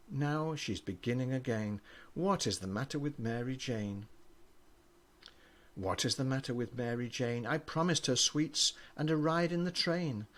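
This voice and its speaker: An older man, a grandfather, reading children's poems aloud with an expressive storybook delivery. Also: mid-range voice.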